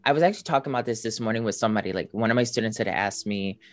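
A man hosting a casual podcast conversation, talking in a relaxed, thoughtful way with many filler words. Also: on the low side, racing. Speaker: host and teacher.

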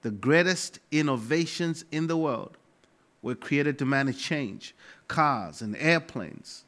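A middle-aged man with a Malawian accent preaching a sermon as a pastor, emphatic and deliberately repeating key phrases. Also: a little high, lively intonation, fairly bright tone.

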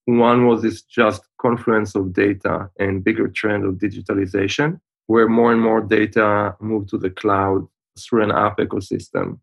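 A man in his forties with an Israeli accent, a podcast guest explaining things in a measured way with frequent pauses over a video call. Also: lowish voice, flat delivery, vocal fry. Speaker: guest, fintech founder.

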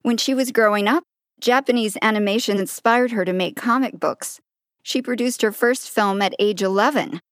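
A woman interviewer who narrates the introduction and asks questions in a clear, polished, encouraging manner. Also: fairly high pitch, moderate pace, fairly bright tone, no room sound, studio quality.

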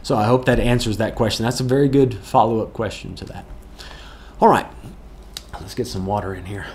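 A man's voice: on the low side, fairly quick, darkish tone, slight background noise. A man answering viewer questions as a pastor, talking through Bible verses in a plain, earnest way, with chuckles and restarts. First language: English, not Afrikaans. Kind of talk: casual Bible Q&A teaching.

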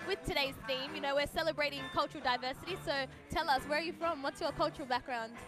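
A woman's voice: high-pitched, fairly even intonation, fast talker. A woman radio host interviewing a festival guest live on air, upbeat and laughing often as she echoes his words. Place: outdoor festival. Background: music.

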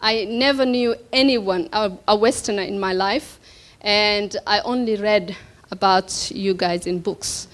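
A woman from Somalia gives a keynote talk at an evening event, speaking at a slow, unhurried pace. Her voice sits at a medium pitch. She pauses often and fills gaps with small hesitations as she tells her story. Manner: slow, reflective, with many pauses and fillers. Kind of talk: personal story speech. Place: event hall before an audience.

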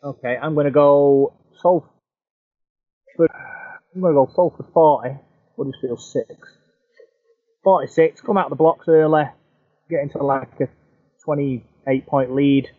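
A man's voice: a little high, fairly even intonation, measured pace, pauses often. A man co-hosting a sports podcast, joking and teasing his co-host. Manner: jokey, teasing. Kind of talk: casual sports chat. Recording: tinny phone-like line.

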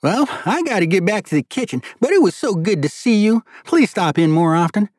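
Audiobook role: hearty middle-aged dad and restaurant owner acted by a man, thick accent, raised pitch, excitable and warm. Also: fairly quick, no echo, studio quality.

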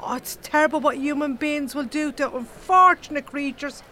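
An actress playing a blunt, chatty, gossipy Irish animal-rights activist with an earthy colloquial manner in a comedy audio drama. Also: female voice, high-pitched, normal speed, pushed and bright. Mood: indignant.

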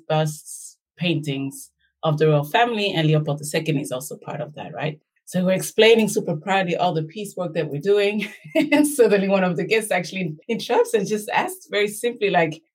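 A woman's voice: on the low side, expressive, moderate pace. A middle-aged woman from Belgium, an academic guest speaking reflectively and anecdotally in an interview. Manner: reflective, anecdotal, checks in with "right?".

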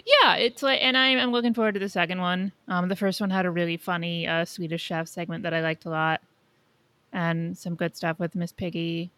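Female co-host, crisp bright edge, fry-laden, emphatic casual chat, very dry recording. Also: lowish voice, fairly even intonation, brisk, no echo. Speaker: podcast co-host.

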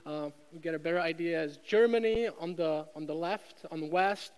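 A man's voice: a little high, moderate pace, a little mellow, smooth. A man from the Czech Republic with a Czech accent, preaching a sermon with emphatic repetition and rhetorical questions. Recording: long hall reverb.

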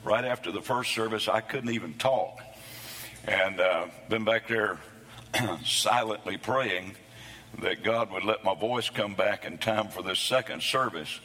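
A man preaching a sermon, his voice hoarse from losing it between services, with a folksy, self-deprecating humor. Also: lowish voice, unhurried, pushed and bright, big-room sound. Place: church sanctuary.

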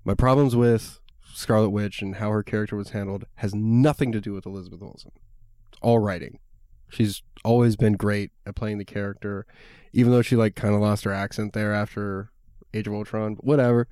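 A man's voice: lowish voice, fairly even intonation, normal speed, darkish tone, clear, resonant tone, no echo, studio quality. A young man chatting casually about a film, rambling with lots of fillers and swearing freely. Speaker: podcast co-host reviewing a film. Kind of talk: casual chat.

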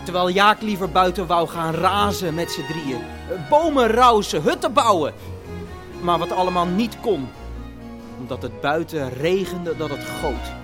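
An actor narrating a family radio play as an excitable storyteller, with dramatic, halting build-ups. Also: male voice, high voice. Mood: brooding, vivid. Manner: animated, halting build-ups with self-corrections. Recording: long hall reverb, music behind. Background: music.

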